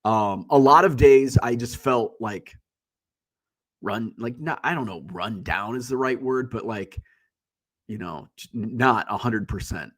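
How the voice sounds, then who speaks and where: A man's voice: mid-range voice, unhurried. Congested male stream host, loose rambling delivery, blunt and profane, mid-range voice. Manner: blunt, rambling, swears freely. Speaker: livestream host. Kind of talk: casual solo chat.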